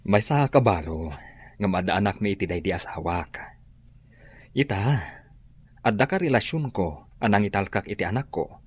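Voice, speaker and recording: A flirtatious boss, lively voice. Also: male voice, lowish voice, normal speed, pauses often, breathy edge, no room sound. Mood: earnest. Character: friendly, flirtatious male boss, a widowed father. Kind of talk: radio drama acting.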